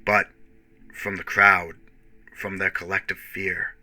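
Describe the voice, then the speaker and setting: A man's voice: low-pitched, little pitch movement, takes it slowly, sharp tone. A man giving a grave, theatrical and emphatic scripted narration in the style of a radio play. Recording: music bed under the voice. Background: music.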